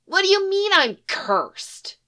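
A woman's voice: very high voice, sing-song, takes it slowly, sharp tone, pitched above the performer's own voice, exaggerated voice. A woman voicing a mouthy, combative eleven-year-old boy who talks back sharply in a storybook reading. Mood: indignant. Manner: combative, sassy back talk.

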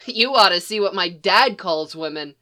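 A woman's voice: mid-range voice, expressive, moderate pace, bright, forceful tone. Woman doing a mouthy, sassy eleven-year-old boy. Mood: argumentative. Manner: combative, sassy back talk.

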